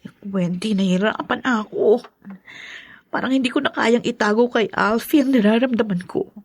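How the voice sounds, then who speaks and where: A bitter, resentful wife. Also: female voice, on the high side, normal speed, breathy edge. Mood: distressed. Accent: Filipino. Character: resentful, bitter young wife scorning her father-in-law. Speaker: drama actor. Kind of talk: Filipino radio drama acting.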